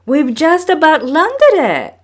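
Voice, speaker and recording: A woman voicing a boastful, eager young male fisherman hero in a storybook, loud and exclaiming. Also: high voice, wildly swooping pitch, slow, voice pushed up, exaggerated voice. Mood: excited. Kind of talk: storybook character voice.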